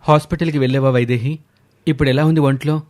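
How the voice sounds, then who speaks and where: A man's voice: medium pitch, steady tone, fast talker, darkish tone, natural voice. A man playing a loving young husband in a family story, eager and affectionate. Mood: concerned, surprised.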